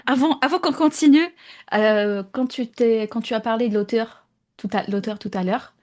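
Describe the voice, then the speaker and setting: A woman's voice: on the high side, normal speed, a little mellow. Woman co-host wrapping up a chat podcast. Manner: friendly, wraps up the episode, laughs easily. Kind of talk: casual conversational podcast.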